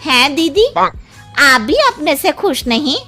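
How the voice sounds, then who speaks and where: A woman's voice: high voice, very animated, takes it slowly, sharp tone, soft background music, full character voice. A woman voicing a naive, wistful village housemaid in a cartoon story, with a folksy, fawning way of talking. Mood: wistful, curious. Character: naive, wistful village housemaid, self-conscious about her looks.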